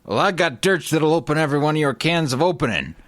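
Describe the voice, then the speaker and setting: A man puts on a folksy old-west cowboy with a fairly high voice that swings up and down in pitch. He drawls and blusters his boasts as a proud tool owner in a comedy radio skit. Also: expressive, fast talker, fairly bright tone, dry recording. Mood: defiant boasting.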